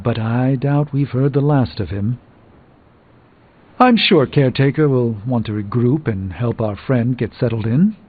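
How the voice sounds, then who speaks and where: A man voicing a confident, dryly witty sorcerer superhero in a video game, with theatrical, articulate delivery. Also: mid-range voice. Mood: wry, composed. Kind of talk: scripted video game dialogue. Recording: muffled game audio under clear music.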